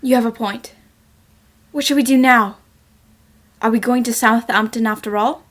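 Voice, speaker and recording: A woman voicing an eager, clever young boy detective's assistant in an animated fan dub, earnest and excitable as they explain things. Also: a little high, normal speed, close to an everyday voice. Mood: serious.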